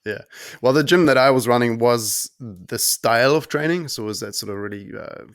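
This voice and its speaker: A man in his thirties from Germany, whose accent mixes German and New Zealand, talking as a podcast guest in a relaxed, rambling way with many ums and restarts. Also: medium pitch, moderate pace, no room sound, clean studio sound. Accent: mix of German and New Zealand. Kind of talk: casual conversational interview.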